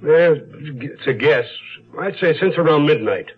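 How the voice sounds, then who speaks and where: An actor playing a breezy, blunt medical examiner with a raspy voice in a radio drama. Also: male voice, on the high side, lively intonation, a little slow. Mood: offhand. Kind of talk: 1970s radio drama acting. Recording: dull lo-fi radio drama recording.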